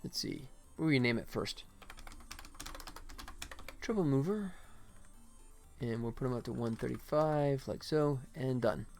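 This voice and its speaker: A man's voice: mid-range voice, measured pace, long pauses, a little mellow, faint music behind. A man live streaming as a game developer, chatting casually with viewers and muttering to himself as he works. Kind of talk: casual live stream chat while working.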